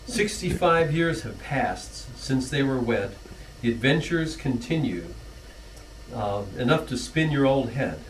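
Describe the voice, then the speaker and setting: A middle-aged man reading his own rhyming anniversary poem in a church hall, earnest, with small stumbles and soft laughs. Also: mid-range voice, sing-song, unhurried, far from the mic, a little hiss or hum.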